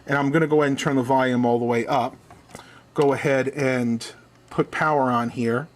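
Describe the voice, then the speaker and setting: A man's voice: mid-range voice, fairly even intonation, normal speed. A man reviewing a product in a casual hands-on demonstration, explaining things methodically step by step.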